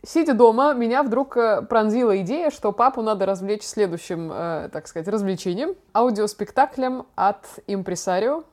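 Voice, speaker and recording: A woman with an average-pitched voice hosts a podcast. She talks informally and chattily, laying out explanations in a conversational way. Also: lively intonation, normal speed, hardly any pauses, a little mellow. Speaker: podcast host. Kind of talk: casual podcast chat with explanations.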